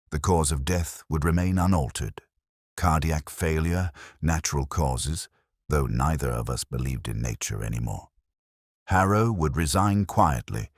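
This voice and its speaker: Male actor as older detective narrator for audio drama: extremely low voice, reflective, weary, literary delivery, dry studio sound. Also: no echo, heavily acted. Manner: measured, literary, melancholic. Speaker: first-person narrator.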